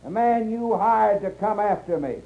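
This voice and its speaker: A man playing a desperate cornered westerner accused of horse stealing, with a rough rustic drawl, in a 1950s radio western. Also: high-pitched, expressive, moderate pace, exaggerated voice. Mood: threatening. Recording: noisy muffled 1950s radio recording.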